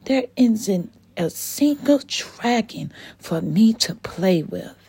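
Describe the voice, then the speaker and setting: A lonely young boy dragon mopes and grumbles in a bedtime storybook. The voice is husky, dark and gravelly, drawn out slowly, with a woman doing him. It sounds close and dry. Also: medium pitch, sing-song, voice pushed up, exaggerated voice. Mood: sad. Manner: sad, grumbling.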